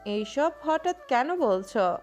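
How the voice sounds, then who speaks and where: A woman acts as an outspoken younger sister in a romantic audio drama. She is resentful and reproachful, speaking slowly in a fairly high voice. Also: sing-song, darkish tone, faint music behind, exaggerated voice. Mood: surprised. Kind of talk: Bengali romantic audio story acting.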